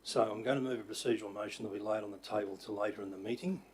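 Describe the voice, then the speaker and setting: Croaky Australian man, mayor chairing a council meeting, low voice, hesitant with fillers, faint room hum. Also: steady tone, very fast. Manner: hesitant, many fillers, polite. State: croaky voice after illness. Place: council chamber.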